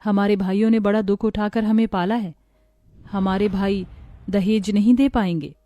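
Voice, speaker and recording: A woman's voice: mid-range voice, steady tone, normal speed, warm tone, dry recording, dull audio, soft background music, natural voice. A woman voicing two poor young sisters in a cartoon, talking in a pleading, emotional way. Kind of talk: cartoon voice acting.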